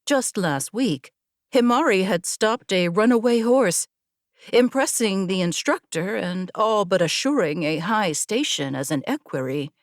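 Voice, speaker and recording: Slow-paced woman narrating fiction. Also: medium pitch, lively intonation, no echo, clean studio sound. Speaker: audiobook narrator. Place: close, dry studio recording.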